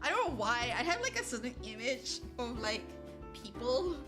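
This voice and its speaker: A woman's voice: a little high, big pitch swings, moderate pace. A woman livestreaming and chatting casually with her viewers, rambling with lots of fillers and poking fun at herself. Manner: rambling, lots of likes and ums, self-deprecating. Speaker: livestreamer reading chat. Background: soft background music.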